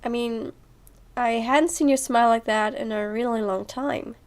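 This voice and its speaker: A woman playing a caring, encouraging young friend in an audio drama, gentle and sincere. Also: on the high side, steady tone, moderate pace, lightly acted. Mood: fond.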